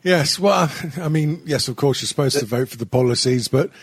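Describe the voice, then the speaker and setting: A man's voice: medium pitch, brisk, breathy edge, no room sound. A British man hosting a podcast, losing his voice, rambling and swearing with frequent laughter. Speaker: podcast host. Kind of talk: casual profane chat.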